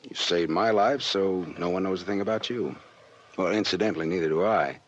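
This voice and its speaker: An actor playing a hardboiled, wisecracking American private detective in 1940s radio noir style, wry, sardonic and slangy. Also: male voice, low voice, brisk. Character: hardboiled, wisecracking male private detective narrating his case. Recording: dull, lo-fi 1940s radio recording.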